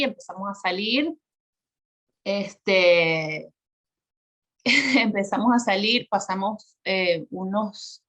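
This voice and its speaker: A woman's voice: mid-range voice, fairly bright tone. A woman from Venezuela with a Venezuelan accent, a podcast guest telling her life story in a chatty way, laughing often and using many fillers. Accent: Venezuelan Spanish.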